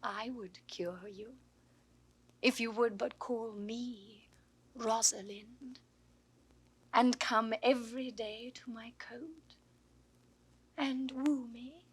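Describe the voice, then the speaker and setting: An actress playing a quick-witted young woman posing as a youth, theatrical and mercurial, in a 1960s Shakespeare audio drama. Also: fairly high pitch, steady tone, slightly rough, exaggerated voice. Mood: coaxing.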